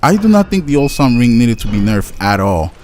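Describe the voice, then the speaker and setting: A man's voice: medium pitch, very animated, brisk, darkish tone. A young man with a Spanish accent, a native Spanish speaker, giving casual gameplay commentary and stumbling over his words as he rambles. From Latin America. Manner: rambling, stutters and restarts often. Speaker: gaming YouTuber. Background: faint household noise, dog barking.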